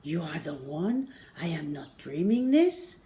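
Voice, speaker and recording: Storybook role: weary old French gentleman played by a woman, frail, regretful, unhurried delivery. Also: low voice, big pitch swings, slight background noise. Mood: amazed. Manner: halting, regretful, speaking some French.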